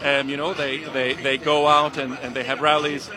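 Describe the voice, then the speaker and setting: A man's voice: mid-range voice, flat delivery, normal speed, crowd noise. A man from Venezuela with a Venezuelan accent, a university teacher being interviewed at an outdoor rally, talking hesitantly with many fillers. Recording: music behind, outdoor rally. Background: music playing.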